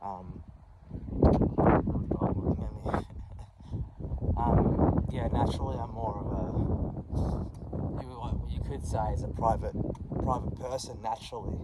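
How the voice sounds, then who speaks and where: A man's voice: mid-range voice, steady tone, heavy background noise. Candid thirty-something man musing aloud. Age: 30s. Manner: candid, halting, many restarts and "you know". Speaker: vlogger. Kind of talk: personal reflective monologue.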